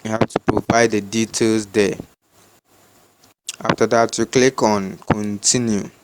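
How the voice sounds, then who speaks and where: Male tutorial presenter, Ghanaian accent, slow and repetitive delivery, slightly noisy home recording. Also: lowish voice, level tone. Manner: repetitive, halting instruction. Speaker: tutorial host. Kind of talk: step-by-step screen tutorial, unscripted.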